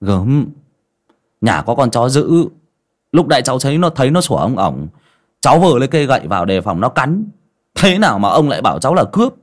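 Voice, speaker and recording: A cheeky young village lad, played by a male narrator, blunt and mocking. He talks in a lively, swinging pitch as part of an audiobook tale. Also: fairly quick. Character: cheeky, bemused young village man running an errand.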